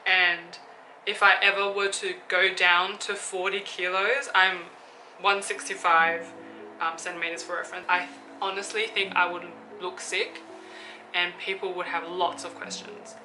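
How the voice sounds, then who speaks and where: A woman's voice: on the low side, little pitch movement, measured pace, poor audio. A woman, chatting casually through a Q&A vlog and thinking aloud with fillers. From Australia. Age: 20s. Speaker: YouTuber. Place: room with an open window. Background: background music.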